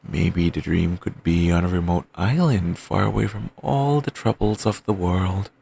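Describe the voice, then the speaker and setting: A young man voicing an obsessive, doting boyfriend in an ASMR audio roleplay, murmuring soft, soothing, controlling reassurances. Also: measured pace, vocal fry, husky, heavily acted. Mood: tender. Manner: coaxing, endlessly affectionate, quietly controlling. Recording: home mic, low-quality.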